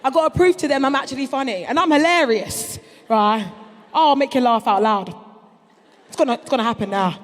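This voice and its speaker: A woman's voice: high voice, fairly quick. A woman in her thirties with a London accent doing stand-up, brash and boastful, full of crude slang. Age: 30s. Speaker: stand-up comedian. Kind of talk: stand-up routine. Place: large concert hall before an audience. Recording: live hall recording with long reverb.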